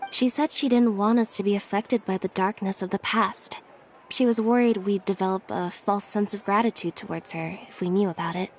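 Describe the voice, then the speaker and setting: A woman playing a composed, devoted young woman in a video game, speaking calmly and deliberately. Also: mid-range voice, slight background noise, close to an everyday voice. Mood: calm, serious. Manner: calm, deliberate. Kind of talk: dramatic video game dialogue.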